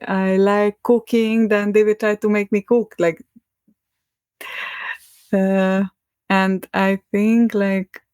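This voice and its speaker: A woman's voice: medium pitch, a little mellow, no echo. A young woman with a Hungarian accent, a podcast guest talking in a rambling, hesitant way and laughing often between thoughts. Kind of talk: casual conversation.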